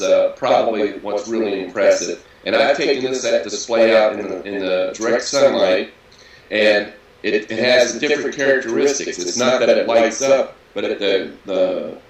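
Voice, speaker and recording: A man in his sixties with a bassy, raspy voice, talking casually and hesitantly with many fillers as an interview guest. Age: 60s. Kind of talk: casual interview over a video call. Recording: echoey audio captured from a PC.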